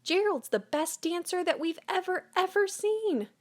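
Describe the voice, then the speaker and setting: Excitable picture-book jungle animal, very high and exclamatory, voiced by a woman. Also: unhurried. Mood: amazed. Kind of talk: children's picture book character voice.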